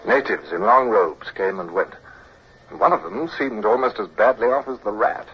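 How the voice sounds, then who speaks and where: Earnest young naval officer, old radio. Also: male voice, fairly low pitch, expressive, normal speed, gravelly, breathy edge, full character voice. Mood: measured narration. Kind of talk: old-time radio drama acting. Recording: dull, hissy old radio recording.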